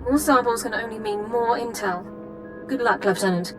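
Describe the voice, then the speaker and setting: A woman's voice: on the high side, moderate pace, close to an everyday voice. An actress voicing a strict, formal female army sergeant who gives precise, clipped military reports in a game cutscene. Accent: Imperial-sounding. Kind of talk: video game cutscene voice acting. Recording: game audio with music bed.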